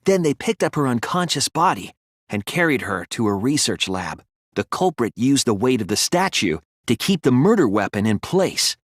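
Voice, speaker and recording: A man voicing an earnest young male student detective in a video game, explaining his deductions in a deliberate, thoughtful way. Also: mid-range voice, very animated, no room sound, studio quality. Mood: measured reasoning. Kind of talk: scripted video game voice acting, deduction speeches.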